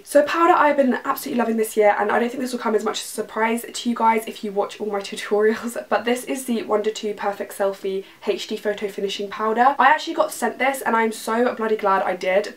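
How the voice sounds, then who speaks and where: A young British woman reviewing beauty favourites to camera in her bedroom, gushing and a little croaky from being ill. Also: medium pitch. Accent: British English. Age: young adult. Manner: gushing, enthusiastic, repeats words for emphasis. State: slightly croaky from illness. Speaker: beauty vlogger. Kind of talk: casual product review to camera. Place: bedroom, indoors.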